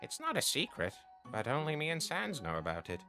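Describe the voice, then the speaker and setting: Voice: medium pitch, wildly swooping pitch, brisk, music in the background. A performer voicing a cheerful, theatrical skeleton monster in a comic dub, earnest and breezily nonchalant. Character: cheerful, self-centered, theatrical simple-hearted skeleton monster. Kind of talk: comic dub character acting.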